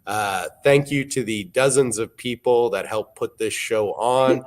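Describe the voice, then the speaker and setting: A man, hosting a live auction broadcast in a relaxed, wry, informative way. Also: mid-range voice, unhurried. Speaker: auction show host.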